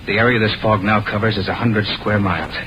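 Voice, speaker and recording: A man's voice: fairly low pitch, fairly even intonation, fast talker, noisy background, natural voice. An American actor playing an earnest, decisive young man fighting creatures in a vintage radio horror drama, with dramatic delivery. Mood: grave, ominous. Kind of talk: vintage American radio horror acting, with first-person narration. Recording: dull vintage radio recording over music.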